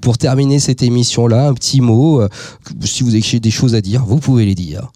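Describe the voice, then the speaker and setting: A man's voice: medium pitch, fairly quick, dark tone. A man hosting a conversational radio programme and addressing his guests. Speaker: radio host.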